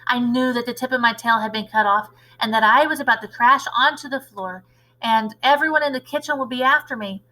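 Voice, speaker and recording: A woman's voice: a little high, a little fast, bright, forceful tone, poor audio. A woman teacher narrating a children's storybook aloud, expressively and with dramatic emphasis.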